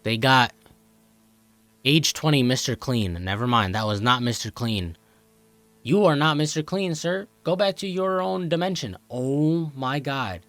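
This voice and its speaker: A man's voice: medium pitch, expressive, measured pace, fairly bright tone, dry recording. A young American man giving casual, joking reaction commentary in exclamatory slang. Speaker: host of a reaction channel.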